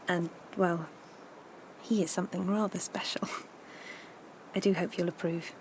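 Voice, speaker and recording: An actress playing a modest young country woman in an audio drama, soft-spoken, demure and hesitant. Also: fairly low pitch, steady tone, measured pace, a little hiss or hum, poor audio. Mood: shy, hopeful.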